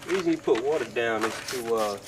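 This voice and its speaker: A man's voice: mid-range voice, quick pace. Sniffly man giving a casual how-to. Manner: laid-back, chatty, frequent fillers and throat clearing. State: getting over a cold, sniffling. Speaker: DIY video maker. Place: outdoors beside a car in sunshine. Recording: outdoor ambient noise.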